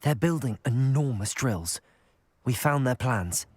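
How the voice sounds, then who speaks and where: A man's voice: mid-range voice, expressive, a little slow, darkish tone, husky, dry recording. A man playing a bold, inquisitive young student wizard in a video game, with a direct, questioning manner. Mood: assured. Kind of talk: video game cutscene acting.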